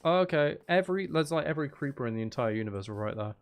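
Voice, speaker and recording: A man's voice: on the high side, expressive, quick pace. A man giving casual live commentary while streaming a video game, muttering wry, exasperated asides to himself.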